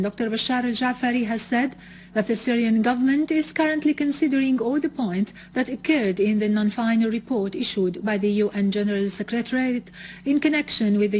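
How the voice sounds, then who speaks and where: A woman news presenter reading a scripted bulletin in a formal, measured way. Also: fairly high pitch, darkish tone, a little hiss or hum, poor audio.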